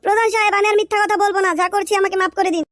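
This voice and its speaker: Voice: very high voice, level tone, very fast, very noisy. A performer voicing a cowardly young village man, whining and begging in an exaggerated comic Bengali drama style. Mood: pleading.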